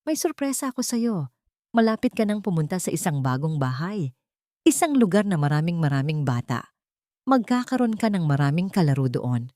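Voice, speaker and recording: A woman's voice: lowish voice, very animated, moderate pace, dark tone, no room sound, studio quality. A woman voicing a scheming, cold-hearted rich stepmother whose sweet voice hides steel, in an audio story. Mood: falsely sweet. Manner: sweet on top, icy and commanding underneath.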